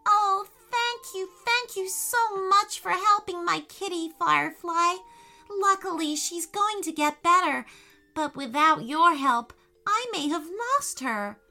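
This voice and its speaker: A woman voicing an eager, curious young schoolgirl who talks in an excitable, earnest way. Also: extremely high pitch, takes it slowly, soft background music, pitched above the performer's own voice, exaggerated voice. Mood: grateful.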